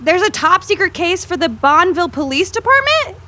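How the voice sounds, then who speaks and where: A woman's voice: very high-pitched, big pitch swings, a little fast, bright, forceful tone, exaggerated voice. A woman playing an earnest, pushy teenage student journalist in an audio drama, with an insistent, sassy manner. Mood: curious, eager. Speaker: voice actor.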